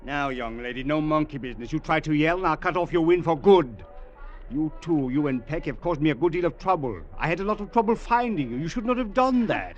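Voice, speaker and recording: A man's voice: a little high, lively intonation, brisk, close to an everyday voice. A man playing a cold, menacing killer. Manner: cold, threatening, clipped. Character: menacing male killer posing as a hotel servant. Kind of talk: 1950s radio drama acting. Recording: dull lo-fi old radio recording.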